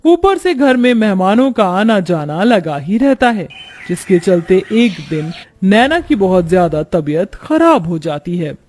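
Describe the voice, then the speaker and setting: A man's voice: high-pitched, very animated, measured pace, darkish tone, a little hiss or hum. A man narrating a scripted cartoon family story.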